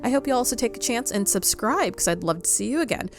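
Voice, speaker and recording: A woman's voice: a little high, lively intonation, quick pace. Casual woman gamer, faint music behind. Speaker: gaming channel host. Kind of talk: casual let's-play commentary.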